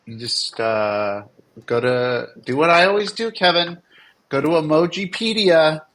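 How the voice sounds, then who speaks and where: A man's voice: fairly high pitch, measured pace, a bit bright. A man chatting casually with colleagues as a developer on a livestream. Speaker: game developer co-hosting a livestream.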